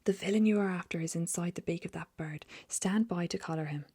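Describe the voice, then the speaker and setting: A woman's voice: fairly low pitch, a little fast, mellow, breathy edge. A woman whispering as a blustering old duke. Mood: commanding. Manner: whispered, bellowing orders.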